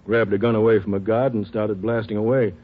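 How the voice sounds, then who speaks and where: A man acting a world-weary but sympathetic male prison warden in an old radio play. His voice is low and a little husky, with short, plain phrases. It comes through thin and narrow like a telephone. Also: level tone, fairly quick, natural voice. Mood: regretful. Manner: plain-spoken, short matter-of-fact phrases. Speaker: supporting actor. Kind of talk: 1950s radio drama acting.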